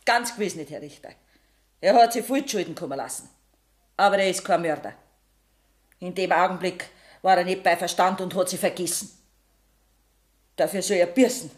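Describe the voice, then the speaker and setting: A woman's voice: lowish voice, normal speed, long pauses, lightly acted. An actress playing an embittered, poor middle-aged Bavarian mother who accuses bluntly in a Bavarian accent, in a radio play. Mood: pleading, reproachful. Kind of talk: 1970s German radio play acting.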